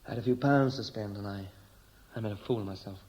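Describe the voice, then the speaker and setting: A man's voice: lowish voice, fast talker, darkish tone, dull audio, close to an everyday voice. Cynical homecoming sailor male actor, blunt and dryly sardonic, 1960s radio drama. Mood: cynical, guarded. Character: cynical Welsh seaman home after years away.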